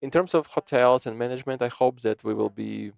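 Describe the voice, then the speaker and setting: A man interpreting a panel discussion into English live, halting often, repeating words and restarting his sentences. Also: mid-range voice, vocal fry, dry recording. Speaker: simultaneous interpreter. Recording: dull, dry lo-fi sound.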